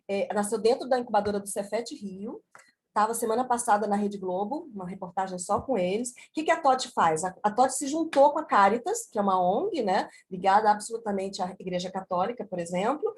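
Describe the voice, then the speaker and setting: A woman giving an energetic, conversational talk about her book chapter on a video call, full of asides. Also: medium pitch. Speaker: book author presenting a chapter. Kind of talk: online book launch talk.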